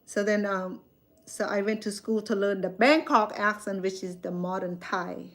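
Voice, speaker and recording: A woman's voice: mid-range voice, fairly even intonation, moderate pace, fairly bright tone. A woman, a Khmer speaker chatting casually with viewers on a live stream from her phone, rambling and correcting herself as she goes. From Cambodia. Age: 60s.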